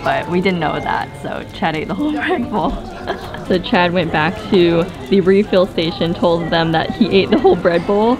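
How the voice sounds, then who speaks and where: A woman's voice: fairly low pitch, lively intonation, moderate pace. A young woman chatting enthusiastically on a travel vlog, giggling often as she describes food and her day. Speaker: travel vlogger. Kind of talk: casual travel vlog chat. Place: cozy restaurant and camper van. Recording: background music under voice.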